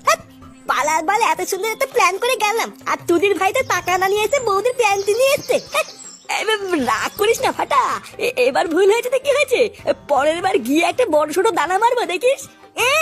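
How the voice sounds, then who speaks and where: A man playing a scheming, foul-mouthed village petty thief in a slapstick cartoon comedy, slangy and quick to squabble. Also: very high voice, a bit bright, heavily acted.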